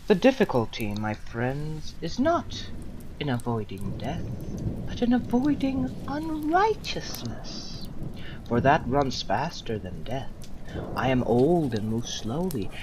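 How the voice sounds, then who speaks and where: A woman playing a condemned old philosopher, defiant and serene, delivering a solemn, deliberate theatrical monologue to judges with long pauses. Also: low-pitched, full character voice. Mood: grave, resolute. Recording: amateur recording with loud background noise.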